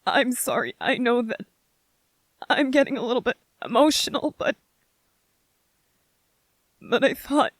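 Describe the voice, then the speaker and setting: Someone acting a gentle young soldier guy grieving, asmr roleplay, real slow and halting, choked up, dry close sound. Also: medium pitch, no echo. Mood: tearful, apologetic. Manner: halting, emotional, earnest, short broken phrases. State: emotional, close to tears. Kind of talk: ASMR roleplay, intimate emotional monologue to listener.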